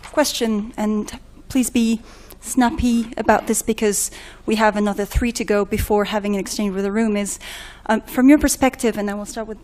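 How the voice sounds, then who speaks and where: A woman's voice: on the high side, darkish tone. Hesitant woman moderating a panel. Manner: hesitant, many ums and restarts.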